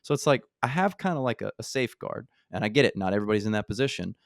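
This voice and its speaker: A man in his thirties with a fairly low voice talks loosely and thinks out loud, filling his sentences with "like." The sound is dry and close, with no room around it. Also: lively intonation, very fast, creaky, no echo. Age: 30s. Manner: chatty, thinks aloud with many "like"s. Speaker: podcast host. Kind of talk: casual interview chat.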